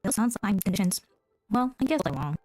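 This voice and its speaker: Mid-pitched woman reading game dialogue aloud. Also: animated, a little fast, a little mellow, soft background music. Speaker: game streamer.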